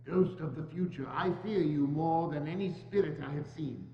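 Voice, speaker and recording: Old miser male actor, fearful and halting, dramatic stage reading; muffled, noisy hall recording. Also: a little high, lightly acted. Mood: fearful, earnest. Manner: hesitant, stammering, pleading. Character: frightened, pleading old miser man, anxious and humbled. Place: large church hall, indoors. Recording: muffled echoey church hall, humming noise.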